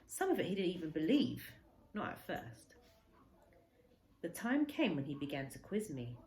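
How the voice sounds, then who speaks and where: A woman reading a children's story aloud as a teacher, in expressive narration. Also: deep voice, moderate pace. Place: indoor room with a window. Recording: echoey room with faint background noise.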